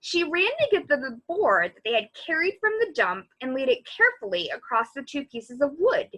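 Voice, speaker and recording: A woman reading a children's story aloud as a library storyteller, in an expressive, animated way. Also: sits high, low-quality recording. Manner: animated, pausing to comment on the story.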